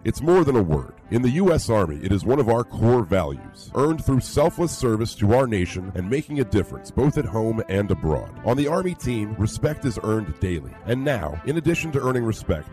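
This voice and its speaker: A man's voice: lowish voice, dark tone. A man narrating a scripted radio spot as an announcer. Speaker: commercial announcer. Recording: music bed behind voice.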